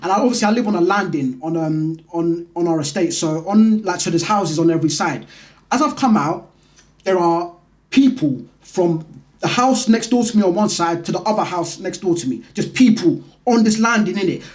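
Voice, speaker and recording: A man's voice: high-pitched, moderate pace. A man with a London accent hosting a vlog, telling a personal story in a casual, slangy, conversational way. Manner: conversational, slangy, animated retelling. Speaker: vlog host.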